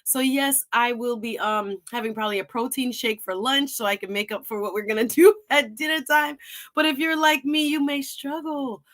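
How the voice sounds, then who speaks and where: A woman's voice: fairly high pitch, steady tone, moderate pace, fairly bright tone. Upbeat woman hosting a talk show. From Jamaica. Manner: chatty, upbeat, laughs often. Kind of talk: live-stream talk show intro.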